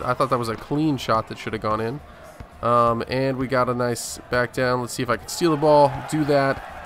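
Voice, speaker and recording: A man hosts a gaming video with loose, casual play-by-play. He jokes and swears now and then. Clear background noise and game sound sit behind his voice. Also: medium pitch. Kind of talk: casual gameplay commentary. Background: video game audio.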